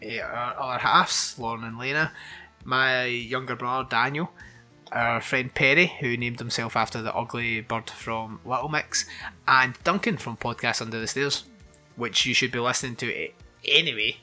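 A man hosting a podcast with a very bright, cutting voice. He teases his co-host with quick asides and laughs easily. A faint music bed runs underneath. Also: mid-range voice, steady tone. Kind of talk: casual chat.

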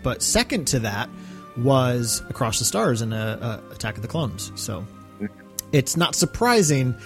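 Chatty male podcast host over music. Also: mid-range voice. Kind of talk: casual fan podcast chat.

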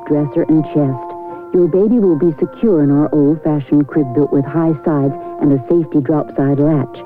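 An American woman reading a scripted 1970s radio commercial as an announcer. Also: sits low. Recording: phone-like old radio broadcast.

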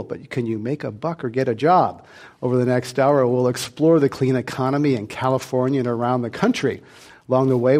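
A man hosts a panel discussion on stage before a live audience. He reads his introductions in a clear, measured way. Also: medium pitch, a little mellow. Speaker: host.

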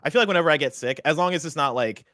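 Young male co-host, quick jokey banter, dry studio sound. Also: fairly high pitch, no room sound. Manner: quick, joking, animated banter. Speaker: podcast co-host. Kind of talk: casual chat.

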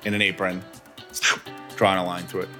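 A man's voice: deep voice, flat delivery, brisk, pushed and bright, soft background music. A man chatting casually as a podcast co-host, with a wry, joking way of talking. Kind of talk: casual chat.

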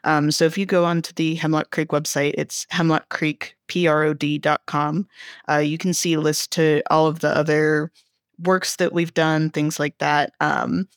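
A man's voice: fairly high pitch, little pitch movement, normal speed, clean tone, no room sound, studio quality. A man talking casually as a guest creator in a podcast interview.

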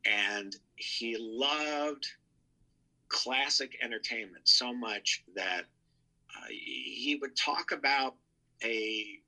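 A man with a very bright, forceful voice and a husky grain speaks as a show contributor. He reminisces conversationally, telling anecdotes with firm emphasis. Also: mid-range voice, extremely slow, poor audio. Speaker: show contributor, entertainment writer.

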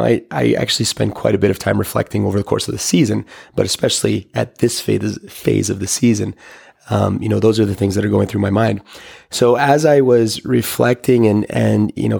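A middle-aged man, a hockey coach, talking in a rambling, reflective way with a hoarse voice that is only just coming back. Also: fairly low pitch, a little mellow. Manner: rambling, many fillers and restarts. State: hoarse, recovering lost voice. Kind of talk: casual reflective monologue.

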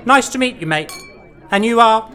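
A man's voice: extremely high pitch, lively intonation, normal speed, bright, forceful tone, a faint crowd, pitched above the performer's own voice, full character voice. A man voicing a brash, crude young lad who swears and says mate a lot.

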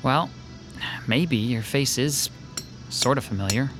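A man voices an uneasy sketch artist in an old-time radio drama, speaking haltingly, as if something is wrong. His voice sits mid-range for a man. Music plays clearly behind him. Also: mid-range voice, natural voice. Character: uneasy male sketch artist haunted by déjà vu. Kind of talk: classic radio drama acting.

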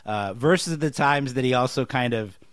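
A male podcast co-host talks through a show in a casual but analytical way. His voice sits at an ordinary middle pitch. The sound is dry, with very little room around it. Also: moderate pace, smooth, no echo. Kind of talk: casual TV recap discussion.

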